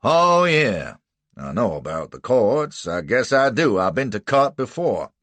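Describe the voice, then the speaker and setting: Crude menacing manhunter, loud and defiant, played by a male narrator. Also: on the low side, sing-song, unhurried, dry recording, heavily acted. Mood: threatening. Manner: rough drawling slang, defiant. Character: swaggering crude male slave catcher.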